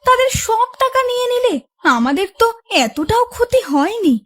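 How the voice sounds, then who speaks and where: A soft-hearted cartoon wife from a village, gently scolding. Her voice is extremely high in pitch. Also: big pitch swings, normal speed. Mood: gently reproachful. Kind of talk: Bengali cartoon voice acting.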